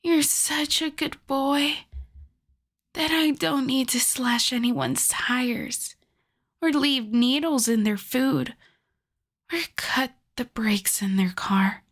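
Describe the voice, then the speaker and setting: A woman voicing a possessive, doting yandere wolf girl in an intimate ASMR roleplay, soft and often whispered, sweet with a menacing edge. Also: sits high, exaggerated voice. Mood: smug, possessive. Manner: slow, cooing, lingering over endearments.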